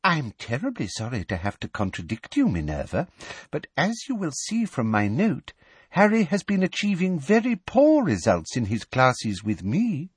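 Male narrator playing a honeyed, simpering woman official, higher voice, husky edge. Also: wildly swooping pitch, normal speed, no room sound, heavily acted. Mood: sugary. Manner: sugary, simpering, mock-polite. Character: simpering, falsely sweet female official.

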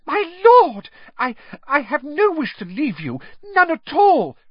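A man reading an audiobook and voicing a cowardly, panicky male servant who pleads and stammers in a high voice. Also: big pitch swings, unhurried, highs cut off, exaggerated voice. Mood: panicked.

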